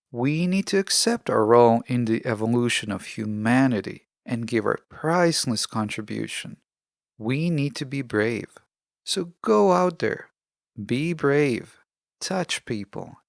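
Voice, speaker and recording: A man with a gravelly, creaky edge and a medium-pitched voice speaks as a podcast host. He delivers a scripted, reflective monologue in earnest, deliberate phrases. The sound is close to the microphone and very dry. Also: dry recording.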